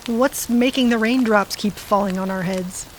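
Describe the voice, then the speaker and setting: A woman's voice: medium pitch, normal speed, slight background noise, lightly acted, poor audio. A woman playing a wry, sardonic, restless character who talks in plain colloquial phrases in an audio drama. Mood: probing, wry.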